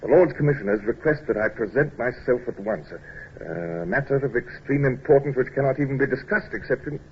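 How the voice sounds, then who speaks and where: A man's voice: mid-range voice, lively intonation, a little fast, lightly acted. A man acting a proud, self-conscious English naval captain in an old-time radio drama, brusque and clipped but hesitant when flustered. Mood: excited, brisk. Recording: muffled, hissy old radio recording.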